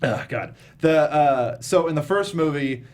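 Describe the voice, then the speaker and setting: Higher-pitched man chatting casually about film. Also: expressive, unhurried. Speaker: talk show host.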